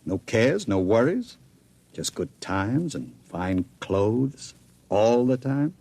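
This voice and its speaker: Low, swooping, scheming gangster boss. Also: male voice, sing-song, slow, vocal fry, dark tone, slightly rough, full character voice. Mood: coaxing, smug. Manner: commanding, sardonic, coaxing. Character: scheming, bossy male gangster, smooth-talking and contemptuous. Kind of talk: old-time radio crime acting.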